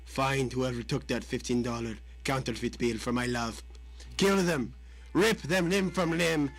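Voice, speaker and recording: A man's voice: medium pitch, unhurried. A man playing a menacing, melodramatic Russian mob boss in a radio drama, with a Russian accent and broken English. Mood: anguished, grave.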